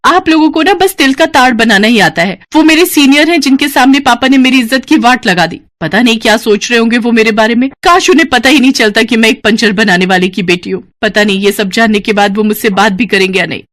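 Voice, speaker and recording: A woman's voice: fairly high pitch, fairly quick, hardly any pauses, fairly bright tone, natural voice. A woman voicing a money-hungry young college girl in a Hindi cartoon story, whiny, argumentative and emphatic. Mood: defiant, indignant.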